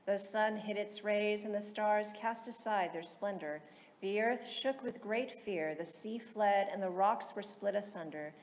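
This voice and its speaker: A woman reading solemn liturgical texts aloud in a measured, formal, chant-like delivery. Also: mid-range voice. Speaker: liturgical reader in church. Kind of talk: solemn chanted recitation of psalms and hymns. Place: large reverberant interior. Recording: echoey reverberant hall, loud background noise.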